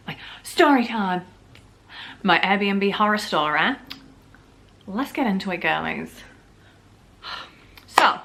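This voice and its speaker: A woman's voice: medium pitch, a bit bright. A young woman with a slightly sore, scratchy throat, chatting through a storytime vlog at home with lots of "like"s and jokes. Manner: chatty, jokey, full of filler "like"s. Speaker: vlogger. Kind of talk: casual storytime chat.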